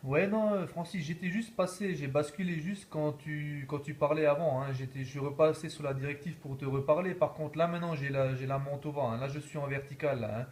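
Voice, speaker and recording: A man's voice: on the high side, brisk, hardly any pauses, darkish tone, a little hiss or hum. A man talking casually as an amateur radio operator, repeating himself and peppering his talk with verbal tags. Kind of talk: casual radio contact chat.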